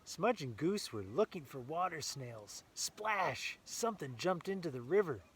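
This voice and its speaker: A man reading a children's story aloud with animated enthusiasm, adding casual asides to young listeners. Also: a little high, a little slow, slightly rough.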